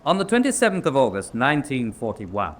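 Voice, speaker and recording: An actor playing a formal naval captain prosecuting a case, precise and oratorical, with open disapproval. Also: male voice, medium pitch, huge pitch swings, brisk. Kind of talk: dramatic radio acting.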